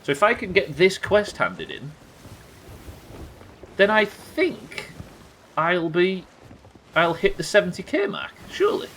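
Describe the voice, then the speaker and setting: A man's voice: high-pitched, wildly swooping pitch, a little slow, pauses often, fairly bright tone, slight background noise. An English man doing casual live game commentary, sweary and jokey, bantering and reading out chat at his desk. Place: indoors at a desk.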